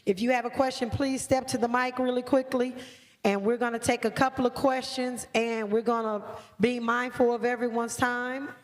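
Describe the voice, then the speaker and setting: Brisk woman moderating a panel. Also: a little high, flat delivery. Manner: brisk, organized. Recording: reverberant hall.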